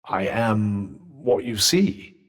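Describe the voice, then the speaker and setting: A man's voice: on the low side, animated, drawn-out words, big-room sound, exaggerated voice. A man with a British accent playing a sardonic, pompous magical talking vambrace in a video game, speaking in formal, wordy phrases with dry wit. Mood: haughty, pedantic. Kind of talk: video game character dialogue.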